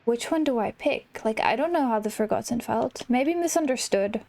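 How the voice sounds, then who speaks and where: A woman's voice: fairly high pitch, expressive, moderate pace, creaky, darkish tone. A woman hosting a gaming video, giving casual let's-play commentary.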